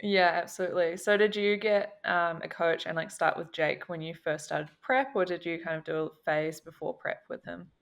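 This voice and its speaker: A woman's voice: fairly low pitch, normal speed, creaky, few pauses. A woman with a New Zealand accent hosting a casual podcast interview in an enthusiastic, supportive way. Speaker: podcast host.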